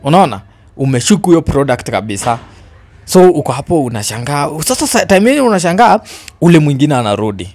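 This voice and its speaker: Male, 20s, Kenyan accent. Quick, chatty storyteller who explains through questions and repetition. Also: a little high, sing-song, a little mellow. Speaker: storyteller guest. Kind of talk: casual storytelling, code-switching chat. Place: indoors on a living-room sofa. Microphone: handheld microphone.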